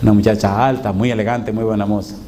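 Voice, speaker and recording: A man's voice: lowish voice, little pitch movement, fast, warm tone. A middle-aged male pastor telling a humorous story in a sermon, acting out his own reactions with drama. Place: church hall before a congregation. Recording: noisy live recording with audience.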